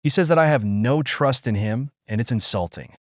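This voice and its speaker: A man narrating a first-person relationship story from an online post in a steady, even reading voice. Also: mid-range voice, normal speed, no echo. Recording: dry, slightly dull lo-fi sound.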